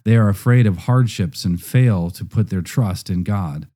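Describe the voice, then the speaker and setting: Deep, very mellow male narrator. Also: moderate pace.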